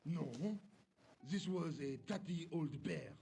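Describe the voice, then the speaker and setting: A blunt, unfazed hospital guard in a video game. His voice is fairly high but gravelly, and he answers in short, matter-of-fact lines. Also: slow pace, warm tone, slight background noise.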